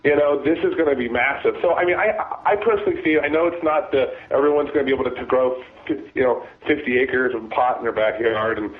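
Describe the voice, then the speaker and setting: A man, a former deputy sheriff, talking as a guest with a raspy voice from a cold, halting and restarting his sentences. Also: medium pitch. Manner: halting, many restarts and repeated words. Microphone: phone. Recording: phone line.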